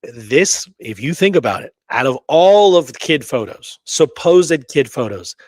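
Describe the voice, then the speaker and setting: A man's voice: medium pitch, sing-song, unhurried, a little mellow, no room sound. A man co-hosting a casual discussion, speaking emphatically and often checking in with short rhetorical questions. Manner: emphatic, rhetorical check-ins like "right?" and "okay?". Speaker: podcast co-host.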